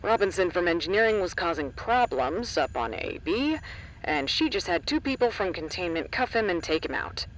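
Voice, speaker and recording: Man acting an agitated scientist, high swooping voice. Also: sing-song, moderate pace, low-quality recording. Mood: alarmed. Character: agitated, blunt, reluctant scientist colleague. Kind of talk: audio drama acting.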